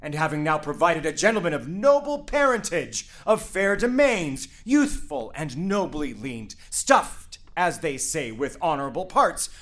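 Guy doing a ranting bossy dad lord, bright sharp voice, takes his time. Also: a little high, big pitch swings, a little slow, few pauses. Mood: raging. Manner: blustering, commanding, rises to shouting rants. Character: furious domineering noble father, middle-aged. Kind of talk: Shakespeare stage reading.

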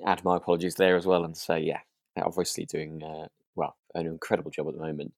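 A man's voice: extremely low pitch, completely flat delivery, quick pace, dry recording, clean studio sound. A British man chatting casually as a podcast co-host in an informal, rambling, hesitant way. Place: remote call from home.

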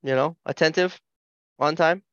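A man's voice: on the high side, normal speed, a bit bright, no room sound. A man with a Boston accent co-hosting a podcast, chiming in with short, punchy agreement. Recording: dull, dry lo-fi mic.

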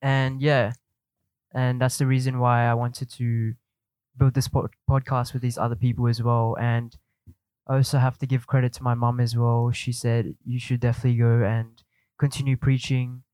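A young man from Australia chats on a podcast in an easygoing way. His voice has a middle pitch that stays fairly even. The sound is dry and close. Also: normal speed, no echo, poor audio. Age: young adult. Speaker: podcast co-host. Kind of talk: casual chat.

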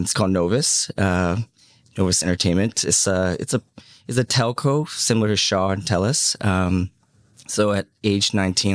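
A man in his thirties who grew up in Hong Kong, a podcast guest telling his life story in a casual, halting way, full of "um" and "you know". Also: deep voice, no room sound, clean studio sound. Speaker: podcast guest, media entrepreneur.

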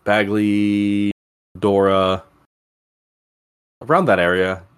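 A man's voice: on the low side, level tone, very slow, smooth, dry recording, studio quality. A man from Alabama with a Southern US accent, hosting a podcast in casual conversation. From Alabama, USA. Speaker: podcast host. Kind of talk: casual chat.

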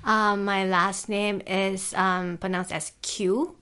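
A relaxed woman in her thirties, talking as a podcast guest in an office. She speaks at an average pitch with a loose, chatty rhythm, scattering "like" through her sentences and laughing easily. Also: takes it slowly, smooth. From Singapore. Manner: easygoing, peppers speech with "like", laughs often. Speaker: guest, climbing guide. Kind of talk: casual interview chat.

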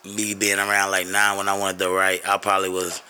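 A young man from New York, a guest rapper talking in a soft, laid-back way with many fillers. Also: deep voice, monotone, fairly quick, clear, resonant tone. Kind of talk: casual interview chat.

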